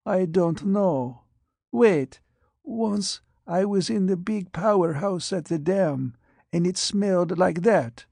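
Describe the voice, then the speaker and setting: A man voicing a frail, withered young patient who speaks, weak and halting. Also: high-pitched, expressive, creaky, mellow, breathy edge, no room sound, studio quality, pitched above the performer's own voice, full character voice. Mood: weak, dazed. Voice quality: cracked whisper.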